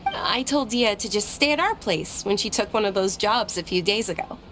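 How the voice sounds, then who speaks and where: A woman's voice: mid-range voice, lively intonation, normal speed, background noise, natural voice. A woman playing a caring, persistent and perceptive young noble lady in a video game, speaking earnestly and politely. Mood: friendly. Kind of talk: video game dialogue acting.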